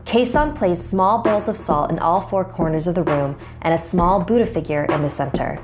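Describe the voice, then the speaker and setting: A woman's voice: lowish voice, lively intonation, normal speed. A woman narrating a scripted first-person horror story as a storyteller. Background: music.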